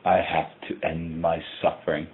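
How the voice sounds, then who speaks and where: Tinny phone-like audio log, actor as haunted man, very low voice, grave and deliberate, formal horror narration. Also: completely flat delivery, slight background noise, close to an everyday voice. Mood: bleak, resigned. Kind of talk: scripted first-person horror narration.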